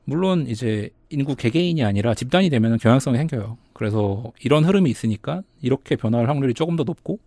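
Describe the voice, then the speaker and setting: A man's voice: mid-range voice, lively intonation, quick pace, hardly any pauses, dark tone, dry recording. A man appearing as a data analyst guest on a podcast, explaining carefully and hedging his claims.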